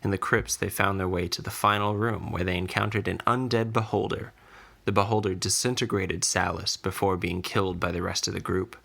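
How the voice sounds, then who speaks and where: A man's voice: sits low, steady tone, fairly quick, vocal fry, a bit bright. A man reading scripted recap narration as the narrator of a fantasy podcast.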